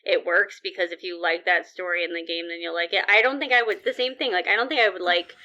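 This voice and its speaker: A woman's voice: on the low side, fairly even intonation, quick pace, bright, forceful tone, highs cut off. A woman co-hosting a podcast, giving candid, hedging opinions in casual chat. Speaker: podcast co-host.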